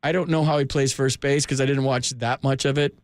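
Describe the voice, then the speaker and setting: A man's voice: medium pitch, flat delivery, a little fast, no room sound. An American man hosting a sports call-in radio show, chatting casually in an informal, joking, slangy way on a close studio mic. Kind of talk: casual chat with callers. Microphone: close studio microphone.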